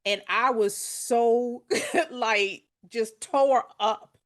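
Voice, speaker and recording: A woman's voice: a little high, drawn-out words. Chatty woman podcast host. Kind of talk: casual interview conversation.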